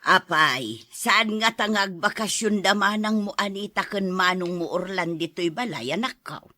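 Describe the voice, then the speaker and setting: An actress as an elderly mother in a radio drama, bright and clear-cut in tone. She fusses affectionately over her children, recorded close and dry. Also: medium pitch, moderate pace, no echo, lightly acted. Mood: fussing.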